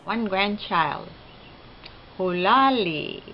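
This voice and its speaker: A woman in her seventies from Hawaii answering interview questions off the cuff in short, measured phrases. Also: mid-range voice, expressive. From Hawaii, United States. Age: 70s. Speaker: interviewee, retired school teacher. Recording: dull sound with faint background noise.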